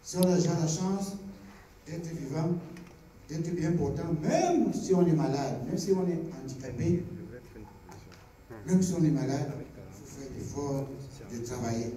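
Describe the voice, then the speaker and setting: A Cameroonian man co-hosting a film club, speaking didactically to young people, deliberately and with repeated phrases for emphasis. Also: a little high, dark tone. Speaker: co-host of a film club discussion. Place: screening hall with audience. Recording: very echoey, noisy hall, distant microphone.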